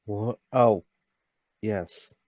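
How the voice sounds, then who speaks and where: Performer doing a low, muttering, curt gentleman. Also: drawn-out words, no echo, dull audio. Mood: distracted, curt. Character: curt, distracted, deep-voiced gentleman buying a newspaper.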